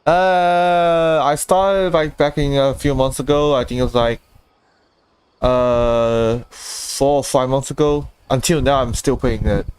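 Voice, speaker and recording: A man giving casual, off-the-cuff live commentary as a video game streamer, relaxed and prone to chuckling. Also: medium pitch, takes it slowly. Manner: laid-back, chuckling, off-the-cuff. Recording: game music clearly behind the voice. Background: video game music and dialogue.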